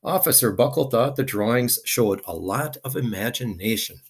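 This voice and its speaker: A man's voice: medium pitch, normal speed, husky. A man reading a children's picture book aloud expressively, with dramatic emphasis.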